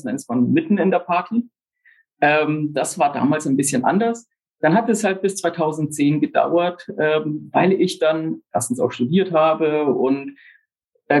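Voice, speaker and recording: Man, forties, slightly high voice, husky, relaxed chatty guest, lots of fillers. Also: poor audio. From grew up in Brazil. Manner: relaxed, chatty, many fillers, self-deprecating humor. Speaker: startup founder, podcast guest. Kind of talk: casual interview talk.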